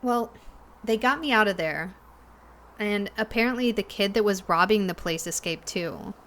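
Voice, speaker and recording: A woman playing a shaken young cafe worker in an audio drama, talking haltingly and hesitantly, with sighs and pauses. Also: mid-range voice, a bit bright, a little hiss or hum, natural voice. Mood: uneasy. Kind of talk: naturalistic audio drama dialogue.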